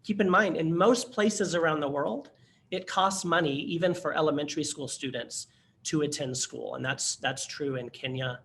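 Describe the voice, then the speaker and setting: Earnest male presenter on a computer mic, slightly high voice, conversational with fillers, faint background ambience. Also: normal speed, vocal fry, fairly bright tone, a little hiss or hum. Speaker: workshop presenter. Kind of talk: webinar storytelling to instructors.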